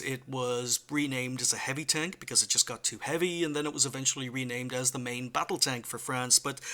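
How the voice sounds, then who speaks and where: A man's voice: medium pitch, sharp tone. A man hosting a gaming video, chatting casually with many fillers while getting over the flu. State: recovering from flu, chest infection. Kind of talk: casual gameplay commentary and history talk.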